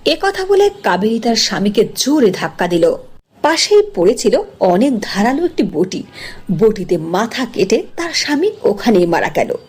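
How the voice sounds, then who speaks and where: A woman's voice: mid-range voice, big pitch swings, normal speed, husky. A woman narrating a scripted cartoon story as its storyteller.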